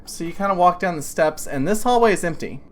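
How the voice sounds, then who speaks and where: A woman running a tabletop game as game master, narrating in a loose, halting way full of "like" and "kinda". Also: on the low side, lively intonation.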